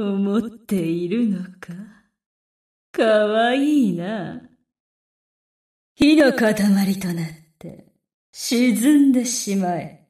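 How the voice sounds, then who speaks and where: A woman voicing a menacing, vengeful female sea demon in a Japanese game, with a drawn-out, otherworldly delivery through an echo effect. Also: mid-range voice, darkish tone. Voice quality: echoing, otherworldly. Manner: slow, drawn-out, threatening delivery. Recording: echoing, distant game voice clips.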